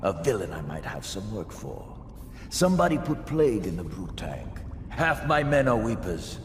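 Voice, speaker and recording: An actor playing a shrewd, menacing street gang boss in a video game, swaggering through rough colloquial talk. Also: male voice, on the low side, very animated, normal speed, a little hiss or hum. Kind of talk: video game villain dialogue. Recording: echoey cavernous room.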